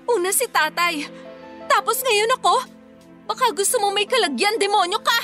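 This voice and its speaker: Brazen filipina girlfriend, super high sharp shrill voice, confrontational, radio drama over music. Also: expressive, normal speed, exaggerated voice. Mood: defiant, taunting. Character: defiant, brazen young cheating girlfriend. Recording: music under the voice.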